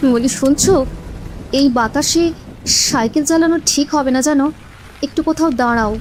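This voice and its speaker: A woman's voice: high-pitched, moderate pace, noisy background, lightly acted. A woman playing an anxious, fretful village wife who keeps cautioning, in a ghost story cartoon.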